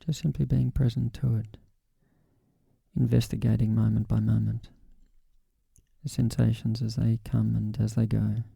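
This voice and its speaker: Very soft, gravelly man guiding meditation. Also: on the low side, no room sound. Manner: calm, gentle, unhurried with long pauses. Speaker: meditation guide. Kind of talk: guided body scan meditation.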